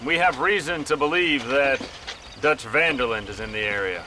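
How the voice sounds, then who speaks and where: An actor playing a polite, controlled government agent, formal and placating, in a game cutscene. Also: male voice, mid-range voice, moderate pace, background noise, lightly acted. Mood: businesslike. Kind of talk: dramatic game cutscene acting.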